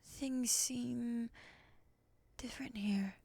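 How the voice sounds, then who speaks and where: A woman playing a cool, skeptical moth monster woman, breathy and half-whispered, sardonic and condescending, in an ASMR roleplay. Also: on the high side, steady tone, extremely slow, warm tone, no echo, heavily acted. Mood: wary, thoughtful.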